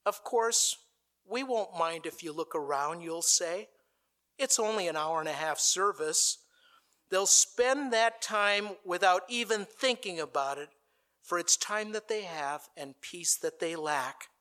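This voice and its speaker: A man preaching a sermon, his voice pitched a little high and ringing bright. He lets his delivery swell into a stirring, dramatic speech. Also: lively intonation, measured pace. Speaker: pastor. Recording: played from an archive recording.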